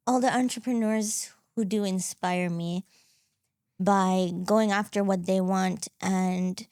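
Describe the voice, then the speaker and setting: A woman's voice: medium pitch, warm tone, no echo, studio quality. A woman from Zambia, a guest on an interview, talking reflectively and thinking aloud with small restarts. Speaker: guest, entrepreneur coach. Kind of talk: conversational interview.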